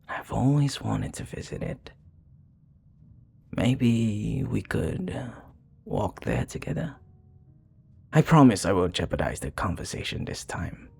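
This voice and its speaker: A charming vampire bartender, acted by a man, speaks low and unhurried in intimate audio roleplay. His voice has a gravelly creak, and he flirts with tender, sincere hesitation. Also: darkish tone, heavily acted. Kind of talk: intimate ASMR roleplay acting.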